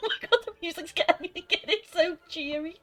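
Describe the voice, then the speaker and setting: A woman's voice: very high-pitched, quick pace, pushed and bright. A woman with a Scouse accent chatting casually as a co-host, giggly and exclamatory. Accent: Scouse (Liverpool). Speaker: co-host of a group stream. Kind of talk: casual banter.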